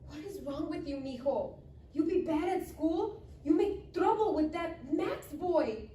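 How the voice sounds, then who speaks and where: A woman's voice: extremely high pitch, measured pace, mellow, a little hiss or hum. An actress playing a tired, scolding immigrant mother, emphatic, in a live comedy table read. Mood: scolding, worried. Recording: echoey room, distant mic.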